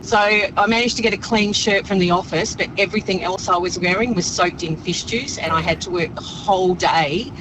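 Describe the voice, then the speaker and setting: A woman's voice: fairly low pitch, a little fast, hardly any pauses. A woman phoning a radio show and casually telling an anecdote. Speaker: radio caller. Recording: noisy phone-in, vehicle ambience.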